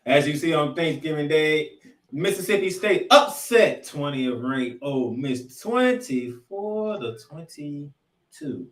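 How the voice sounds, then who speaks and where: A man's voice: on the high side, expressive, takes it slowly. A man hosting a sports podcast, giving colloquial, showman-like recap commentary. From Florida, United States. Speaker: podcast host. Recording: echoey room sound.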